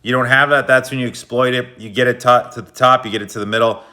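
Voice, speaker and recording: A man's voice: mid-range voice, steady tone, quick pace, sharp tone. A man giving a casual, slangy breakdown of hockey game footage, explaining plays like a coach. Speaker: hockey video analyst.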